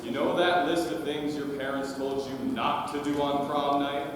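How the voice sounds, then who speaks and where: A man's voice: medium pitch, flat delivery, normal speed, lightly acted. Young man playing pompous explorer, echoing hall. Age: teenage or young adult. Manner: pompous, theatrical. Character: pompous grown male explorer dispensing advice. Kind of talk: high school stage comedy acting. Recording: very noisy echoey hall from far off.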